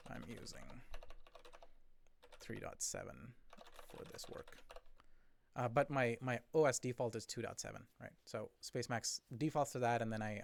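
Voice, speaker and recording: A man thinking aloud as he codes on a live stream, rambling and correcting himself, his voice a bit hoarse from talking for a long time. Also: medium pitch, no room sound. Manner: rambling, self-correcting, chatty with viewers.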